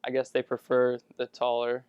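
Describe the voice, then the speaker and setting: A young man answering interview questions in a hesitant, halting, casual way. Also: mid-range voice, monotone, darkish tone, clean tone, no echo, clean studio sound. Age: young adult. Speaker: college basketball player.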